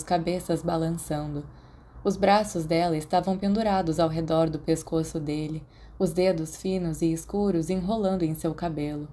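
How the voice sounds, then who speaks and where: A woman's voice: fairly low pitch, mellow. A Brazilian woman speaking as the narrator of a fan fiction podcast. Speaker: podfic narrator. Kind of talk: expressive audiobook narration and casual chat to listeners.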